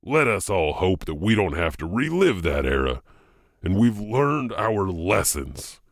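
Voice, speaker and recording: A man's voice: low-pitched, very animated, unhurried, dry recording, studio quality. A man hosting and narrating spooky legends in a theatrical, wry, scripted storytelling manner. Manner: theatrical, wryly punning. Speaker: host and narrator of a horror legend anthology.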